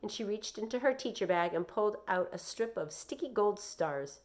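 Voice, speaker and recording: A woman's voice: medium pitch, expressive, moderate pace. Woman narrating a children's novel. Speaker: read-aloud narrator.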